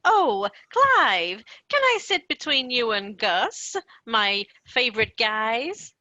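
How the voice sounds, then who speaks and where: A woman's voice: a little high, sing-song, slow, pushed and bright, dull audio, exaggerated voice. A woman playing a gushing, dim, flirty American trophy wife in a comedy radio play.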